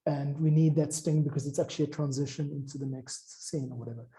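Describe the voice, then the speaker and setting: Guy just chatting and explaining stuff, really mellow relaxed voice, kind of discursive. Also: a little high, fast talker. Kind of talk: informal chat about craft.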